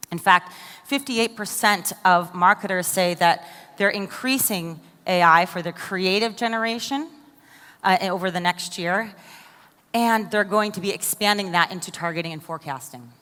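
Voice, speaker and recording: A woman's voice: fairly low pitch, pushed and bright, smooth. An American woman giving a conference keynote, enthusiastic and asking the audience questions directly. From United States. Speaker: conference speaker. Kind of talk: keynote presentation. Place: large conference hall. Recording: long hall reverb.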